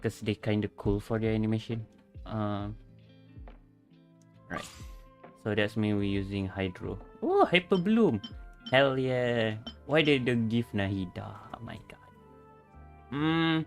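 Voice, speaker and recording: A man doing casual livestream game commentary in non-native English, haltingly thinking aloud, with game music behind him. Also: lowish voice, expressive. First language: not English. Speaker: streamer. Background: video game music.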